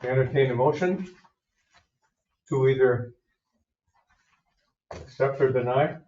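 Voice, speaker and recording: A man chairing a village zoning board meeting, thinking aloud in a measured, conversational way. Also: mid-range voice, darkish tone. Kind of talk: public meeting discussion. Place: village hall meeting room. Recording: echoey room, distant muffled mic.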